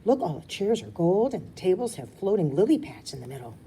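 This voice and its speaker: A woman voicing an excitable, gushing young girl who whines and sighs in a storybook reading. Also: lowish voice, fairly quick, slight background noise, voice pushed up. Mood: excited. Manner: sighing, whining, exclaiming.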